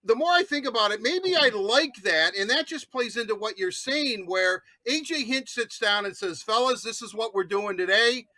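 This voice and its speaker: A man's voice: high voice, lively intonation, normal speed, few pauses, sharp tone, dry recording. An American man co-hosting a sports talk show, chatting casually with rambling asides. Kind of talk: casual chat, sports interviewing.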